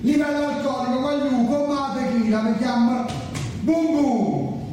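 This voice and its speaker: A man's voice: extremely high pitch, measured pace, voice pushed up. A man performing a boastful, lovelorn, boozy young Neapolitan rapper in a rhythmic comic stage chant. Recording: very echoey noisy hall from far off.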